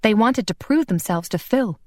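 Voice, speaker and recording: A woman's voice: medium pitch, animated, moderate pace, no room sound, clean studio sound, natural voice. A woman voicing a brave, caring young heroine who speaks in an urgent, reassuring way.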